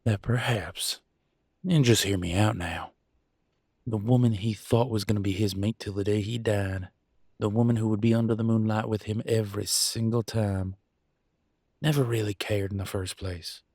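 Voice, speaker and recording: Man playing a low-voiced werewolf husband. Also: steady tone, normal speed, a little mellow, no room sound, studio quality. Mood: hurt, accusing. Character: proud, wounded young werewolf husband and country vet. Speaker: voice actor. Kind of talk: dramatic roleplay audio, one side of a couple's argument.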